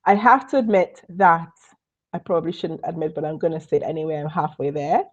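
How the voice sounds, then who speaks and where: A woman's voice: low-pitched, brisk, highs cut off. Trinidadian woman coaching on a webinar. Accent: Trinidadian, mixed with British and Northern Irish. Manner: lively, animated, repeats points for emphasis. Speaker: business coach. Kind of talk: live online training.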